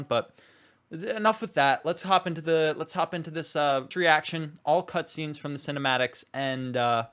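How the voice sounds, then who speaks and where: A man's voice: fairly high pitch, fairly bright tone. A man giving casual gaming reaction commentary in an informal, chatty, rambling way. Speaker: gaming YouTuber host.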